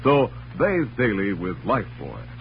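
Male radio announcer, lively swooping pitch, polished dramatic delivery, 1940s style, muffled recording. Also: unhurried. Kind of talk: 1940s radio narration and sponsor read.

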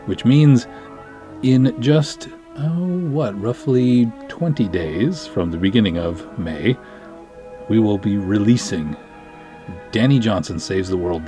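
An American man hosting a podcast, promoting to his listeners in an emphatic, deliberate, tongue-in-cheek way. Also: on the low side, mellow. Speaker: podcast host, film writer-director. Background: music.

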